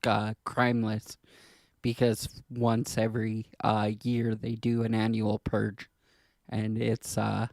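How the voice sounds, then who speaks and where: A man co-hosting a podcast in casual discussion, speaking hesitantly in halting, trailing clauses. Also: fairly low pitch, monotone, creaky, a little mellow, dry recording. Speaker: podcast co-host.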